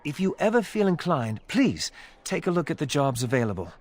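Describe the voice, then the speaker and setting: A man's voice: fairly high pitch, very animated, brisk, slight background noise. A man playing a courteous, formal merchant guild townsman in a fantasy video game. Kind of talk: video game character dialogue.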